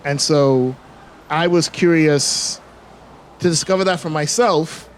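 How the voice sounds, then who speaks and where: A man's voice: on the high side, takes it slowly. A man in his forties with a Bahamian accent mixed with American, a filmmaker talking reflectively as an interview guest. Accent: Bahamian with American mix. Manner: reflective, many fillers and restarts. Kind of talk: casual interview talk.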